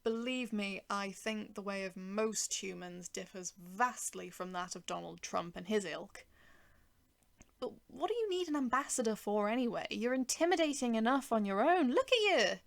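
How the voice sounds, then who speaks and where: A woman reads a first-person story in a creaky voice at an ordinary female pitch. Her delivery is wry and sardonic, moving between narration and spoken dialogue. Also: expressive, normal speed. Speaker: writer and narrator.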